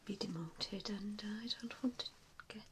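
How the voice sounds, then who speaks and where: A woman performing a softly spoken ASMR roleplay as a gentle, reassuring vampire mentor, with a soothing, hesitant manner. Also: medium pitch, moderate pace, noisy background.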